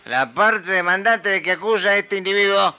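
An actor playing a bumbling, tipsy, chummy judge in an amateur comic radio play, rambling, blustering and easily distracted. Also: male voice, high-pitched, moderate pace, a loud crowd. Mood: blustering, stern. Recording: amateur radio play with people chattering over it.

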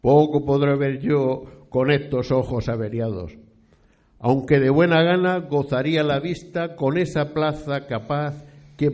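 A man's voice: mid-range voice, darkish tone, highs cut off, natural voice. A man from a radio play, with an accent from Spain, playing a pious, nostalgic old eighteenth-century priest who speaks in formal, florid, archaic language. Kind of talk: period radio play acting.

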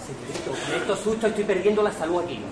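A man's voice: sits high, lively intonation, quick pace. A man playing a nervous, bumbling impostor fiancé in an amateur stage farce, stammering and repeating himself. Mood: flustered. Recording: distant echoey hall, noisy audience.

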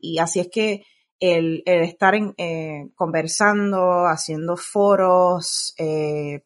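Thoughtful Puerto Rican woman, lowish voice. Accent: Puerto Rican Spanish. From Puerto Rico. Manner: thoughtful, many filler pauses and restarts. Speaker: guest, science communicator. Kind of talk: casual interview conversation.

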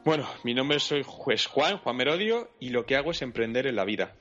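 A man's voice: mid-range voice, animated, fairly quick, fairly bright tone, lo-fi sound. Madrid man in interview, music behind. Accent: Madrid Spanish. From Madrid, Spain. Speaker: interview guest, entrepreneur. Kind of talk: conversational interview answers.

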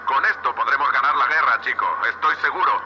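A man's voice: mid-range voice, brisk. A man with a Castilian Spanish accent playing a blunt, foul-mouthed space salvage boss in a sci-fi audio drama, heard over a radio link. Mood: awed, excited. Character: gruff, sweary space salvage boss, awed by alien tech. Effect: radio comms filter. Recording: muffled, noisy space-suit radio link.

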